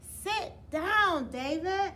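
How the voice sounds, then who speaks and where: A woman's voice: extremely high pitch, expressive, extremely slow, bright, forceful tone, voice pushed up, full character voice. A woman voicing a stern schoolteacher who scolds a pupil with firm, emphatic commands. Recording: clear background noise.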